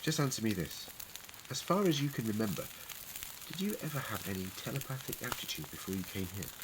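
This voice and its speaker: A man's voice: medium pitch, very animated, brisk, noisy background, close to an everyday voice. A man acting in a fan audio drama as an eccentric, clever Time Lord adventurer, musing, wordy and wryly witty. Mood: probing.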